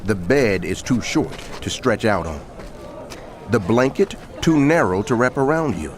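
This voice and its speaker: A man's voice: on the low side, animated, unhurried, a little hiss or hum. A man narrating scripture dramatically, in a declamatory and emphatic manner.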